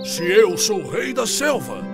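A man voicing a proud, gruff lion king in a children's cartoon fable, with an imperious, theatrical delivery. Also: high-pitched, sing-song, measured pace, music in the background, heavily acted. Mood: humbled, grateful.

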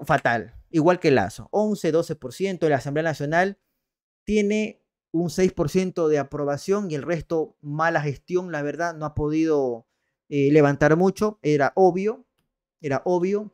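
A man's voice: a little high, normal speed, clean tone. A young man, doing casual and sarcastic political commentary on a livestream, reading out poll figures and greeting viewers over a headset mic. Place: small room indoors.

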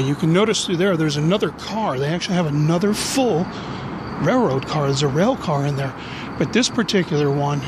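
A man in his forties giving a casual, rambling, jokey walking tour as a vlog host, recorded on a handheld camera outdoors. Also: fairly high pitch. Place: outdoors on city back streets. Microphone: handheld camera at arm's length. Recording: noisy outdoor street with traffic.

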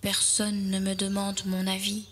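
A woman voicing a small, wistful, easily upset little fir tree in a children's storybook, plaintive and expressive. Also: fairly high pitch, a little slow, slightly rough. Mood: sad.

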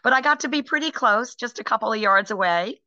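Bright-voiced woman on a video call. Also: fairly high pitch, expressive, a little fast, no room sound. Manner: chatty, rambling, frequent ums and restarts. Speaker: actress guest. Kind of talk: casual interview over video call. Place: home room, indoors. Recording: dull lo-fi video call audio.

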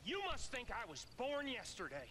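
Sneering outlaw gang leader, taunting, high and lively. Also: male voice, moderate pace, a little hiss or hum, full character voice. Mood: threatening. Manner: mocking, taunting.

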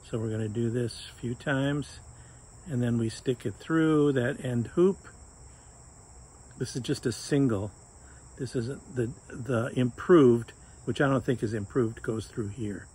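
An older man talks through a fly fishing knot in a relaxed, joking way, chatting as his hands work. His voice sits at an ordinary middle pitch. He is outdoors on a patio, close to the camera, with faint crickets and insects behind him. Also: a little slow, a little hiss or hum. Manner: chatty and joking, talks while tying knots. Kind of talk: casual how-to demonstration. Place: outdoors on a sunny patio by a fence.

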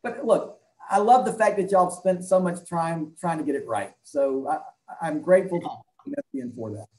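A man in his sixties giving measured, halting answers as an interview guest over a video call from an indoor room. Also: fairly high pitch, darkish tone, reverberant. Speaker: interview guest, health clinic leader and doctor.

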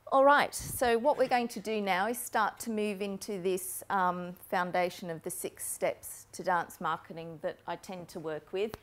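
A woman's voice: mid-range voice, fairly even intonation, moderate pace, hardly any pauses. A woman in her forties giving a conference talk in a lecture room on a lapel mic, explaining steadily with frequent ums. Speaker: keynote speaker on marketing. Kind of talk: conference presentation. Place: lecture room with a projector screen.

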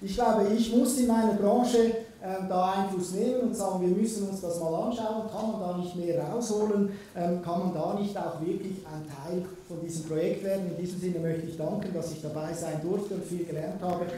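A man's voice: high voice, fairly even intonation, normal speed, hardly any pauses, mellow, recorded from a distance. Swiss german guy giving a keynote, lots of ums, faint room hum, big echoey hall. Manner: conversational, frequent filler sounds. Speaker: keynote speaker. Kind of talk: conference keynote talk. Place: large conference hall. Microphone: distant room recording.

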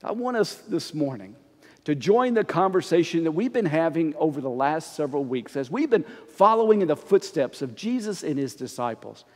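A middle-aged man, a pastor, preaching a conversational, expressive sermon while standing before the altar. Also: on the high side, normal speed. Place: church sanctuary before the altar. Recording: long reverb of a church sanctuary.

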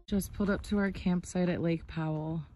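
A woman's voice: lowish voice, fairly even intonation, normal speed, dark tone, faint music behind. A woman filming a casual travel vlog on her phone outdoors at a campsite, chatty and awed by the view. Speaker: travel vlogger. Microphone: handheld phone.

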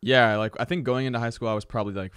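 A young man co-hosting a podcast in casual chat, making joking deadpan asides, close to a studio mic. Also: medium pitch, racing, clear, resonant tone, no echo. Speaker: podcast co-host. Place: room with couch, indoors. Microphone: studio mic close up, headphones.

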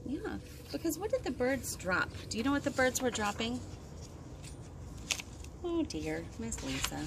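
Woman, children's storytime host, very lively intonation, sing-song, question-filled delivery, windy noisy outdoor recording. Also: a little high, unhurried. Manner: expressive, sing-song, full of questions and gasps. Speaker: children's librarian hosting storytime. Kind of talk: interactive storytime for young children. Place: outdoors on a wooden deck by trees. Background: wind and outdoor ambience.

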